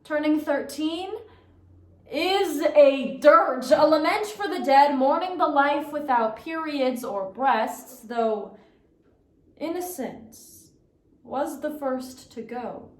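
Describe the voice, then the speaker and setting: A young woman performing a dramatic poetry recitation, with theatrical, deliberate phrasing and emphatic pauses. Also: high voice. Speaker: competitive speech performer. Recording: roomy, echoing sound.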